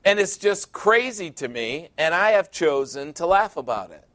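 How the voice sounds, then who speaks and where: A man in his sixties preaching a sermon, emphatic and repetitive, building to loud peaks. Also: fairly high pitch, big pitch swings, normal speed. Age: 60s.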